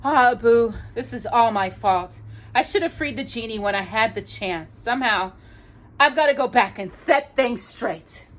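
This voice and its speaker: A woman's voice: fairly high pitch, lively intonation, moderate pace, voice pushed up, natural voice. A woman voicing an earnest, brave young street urchin in a storybook, urgent and emotional. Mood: remorseful.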